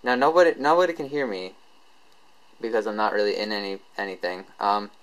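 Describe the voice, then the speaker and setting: A man presenting a tech tutorial in a casual, informal, unscripted way, halting as he explains each step. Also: lowish voice, rough recording.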